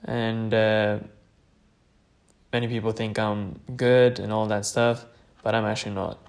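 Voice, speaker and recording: A man's voice: on the low side, level tone, measured pace. A man in his late teens from Norway, tired late at night, rambling hesitantly as he tells his own story on a solo podcast. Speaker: podcast host.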